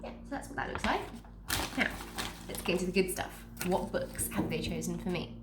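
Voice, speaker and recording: A woman's voice: fairly low pitch, animated, fairly quick. A woman with a stuffy nose from a cold, chatting upbeat through a book box unboxing and reading the blurbs aloud. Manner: chatty, upbeat, restarts sentences. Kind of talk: casual unboxing chat with read-aloud blurbs. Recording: echoey room with faint noise.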